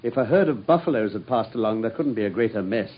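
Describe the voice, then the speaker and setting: An actor playing a brisk, sharp-minded male consulting detective in a radio drama, speaking in a clipped, wry manner. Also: on the low side, animated, slightly rough. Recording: dull old radio broadcast.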